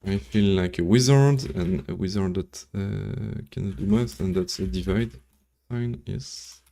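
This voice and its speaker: A man's voice: on the low side, vocal fry, mellow. A man from Belgium whose first language is French, thinking aloud and reading formulas during a casual live coding stream, with dry jokes. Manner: thinks aloud, reads formulas slowly, joking asides. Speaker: coding livestreamer.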